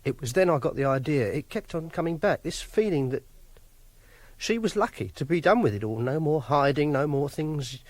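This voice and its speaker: An actor playing a quiet, awkward, obsessive loner, speaking a halting, self-pitying confessional monologue in a radio drama. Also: male voice, fairly high pitch, animated, no echo. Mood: numb, morbid. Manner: halting, plain-spoken, brooding. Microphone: close, dry studio microphone.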